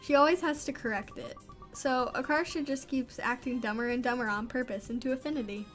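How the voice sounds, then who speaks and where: A woman's voice: high voice, a little fast, faint music behind. A woman reading a visual novel aloud as a gaming channel host, with chatty, amused asides.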